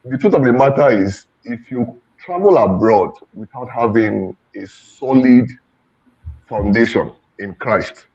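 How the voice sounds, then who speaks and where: A man with a low, husky, gravelly voice telling a relaxed story on a church panel. He pauses often with fillers. The sound is dull and muffled. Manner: relaxed anecdotal, frequent fillers. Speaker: panel speaker.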